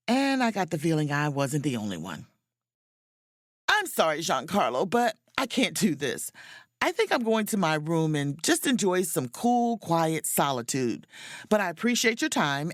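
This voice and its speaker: An actress playing a wry, self-mocking adventurous officer, narrating and speaking in a first-person audio drama in a confiding, playful way. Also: female voice, low-pitched, sing-song, moderate pace, no room sound, studio quality. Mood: polite, warm.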